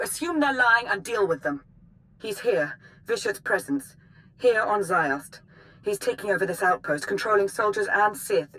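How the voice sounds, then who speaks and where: An actress playing a serious, urgent Sith intelligence minister in a game, speaking in a formal, clipped, businesslike way. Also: female voice, medium pitch, sharp tone, lightly acted, low-quality recording.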